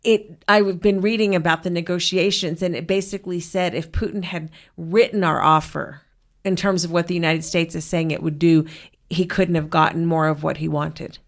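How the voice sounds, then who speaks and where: A woman's voice: on the low side, fairly even intonation, moderate pace. A woman interviewer in a conversational interview, chiming in agreeably with short affirmations.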